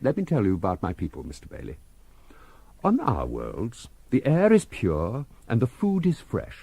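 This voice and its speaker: An urbane, theatrical elderly scientist, wildly varied pitch. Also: male voice, huge pitch swings, vocal fry, a little mellow, breathy edge, no echo, heavily acted. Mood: grave, eloquent. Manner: formal, measured, theatrical. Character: genial, urbane, very old spacefaring scientist, eloquent and commanding. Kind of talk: radio drama acting.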